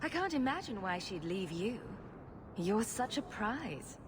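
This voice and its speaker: A woman's voice: mid-range voice, big pitch swings, unhurried, background noise. A woman voicing a witty, sarcastic young rogue adventurer in a video game, wry and confident. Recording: music behind the voice.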